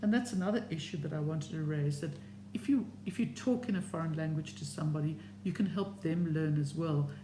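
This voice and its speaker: Middle-aged, Serbian home language, measured encouraging vlog talk, roomy echo, audible hum. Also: medium pitch. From South Africa. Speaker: language learning vlogger. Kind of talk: opinion talk to camera. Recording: echoey room with steady hum.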